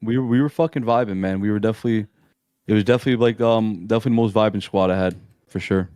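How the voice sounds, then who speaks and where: Young male gamer guest, low flat monotone, slangy and rambling, dry close mic. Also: racing, clean tone, no room sound. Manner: laid-back, slangy, rambling with restarts. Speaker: amateur esports player, guest. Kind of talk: casual interview chat.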